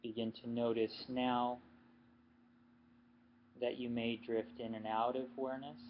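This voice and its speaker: A man leading a guided yoga nidra meditation as instructor, speaking unhurriedly in short phrases with long pauses. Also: medium pitch, flat delivery. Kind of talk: guided meditation, slow body-scan instructions. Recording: muffled lo-fi recording with background noise.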